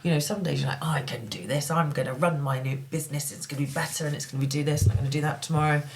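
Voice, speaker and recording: Low-voiced candid woman. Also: steady tone, racing, slight background noise. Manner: candid, self-critical, chatty. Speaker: podcast co-host. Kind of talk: casual podcast chat.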